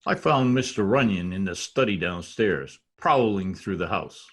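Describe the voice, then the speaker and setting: An actor playing a cornered, murderous husband in formal, theatrical 1940s radio drama style. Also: male voice, sits low, animated, moderate pace, breathy edge. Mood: indignant. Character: timid-looking murderous husband, indignant and cornered.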